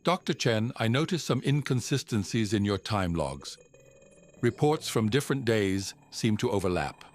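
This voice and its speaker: An actor playing a measured, formal psychiatrist on a space expedition in an audio drama. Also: male voice, on the low side, natural voice. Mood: probing, concerned. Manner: calm, formal, clinical. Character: measured, clinical male psychiatrist on a Mars expedition. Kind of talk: audio drama log and session dialogue.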